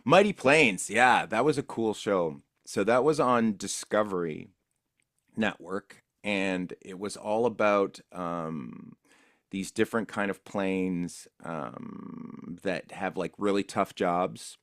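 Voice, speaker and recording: A man with a notably deep, low-pitched voice talks through his old voice-acting gigs in a loose, rambling, easygoing manner. The sound is dry and close, with no room echo. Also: slow pace, a bit bright. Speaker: voice actor guest. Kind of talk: casual interview recollection.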